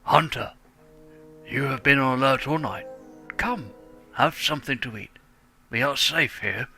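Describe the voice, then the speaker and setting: A man voicing a wise old male dragon mentor who speaks in a grave, measured way. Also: mid-range voice, vocal fry, pushed and bright, soft background music. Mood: solemn. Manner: solemn, measured. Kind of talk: fan dramatic reading.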